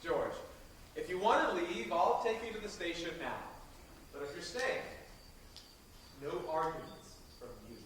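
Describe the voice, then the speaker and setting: A man's voice: sits high, lively intonation, a little slow, long pauses, fairly bright tone, a little hiss or hum. A man in an amateur stage play acting a wry, firm grown son who teases his mother. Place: college theatre stage. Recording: distant camera, echoey theatre.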